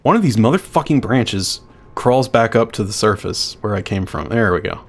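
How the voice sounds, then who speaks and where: A man with a low, deep voice, talking through a game on a live stream. His chatter is loose and unscripted, full of offhand swearing and half-finished thoughts. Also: expressive, normal speed. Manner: chatty, swears freely, thinks out loud. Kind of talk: casual live-stream chatter while playing.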